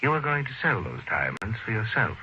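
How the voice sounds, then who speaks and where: An actor playing a cold, calculating smuggler and murderer in an old radio drama, suave, precise and menacing. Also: male voice, lowish voice, sing-song, a little fast, sharp tone, exaggerated voice. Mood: suspicious, commanding. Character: cold, calculating male diamond-smuggling murderer offering a bribe. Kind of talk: 1940s radio drama acting. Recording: dull, faintly noisy old radio recording.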